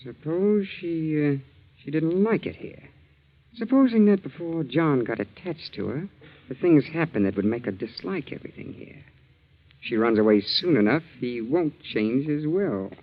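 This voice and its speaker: Actor as a scheming, high-voiced resentful son. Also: male voice, lively intonation, normal speed, lightly acted. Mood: sly menace. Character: scheming, resentful grown son, greedy and sly. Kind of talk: 1940s radio drama acting. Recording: dull, scratchy 1940s radio broadcast.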